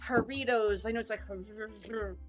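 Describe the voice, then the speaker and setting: A woman's voice: fairly high pitch, steady tone, moderate pace, music in the background. A woman streamer in casual rambling chat, jokey and self-mocking.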